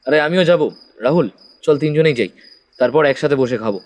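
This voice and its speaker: A man's voice: on the high side, a little fast, close to an everyday voice. A man playing a polite, practical young man who placates others in an audio horror story.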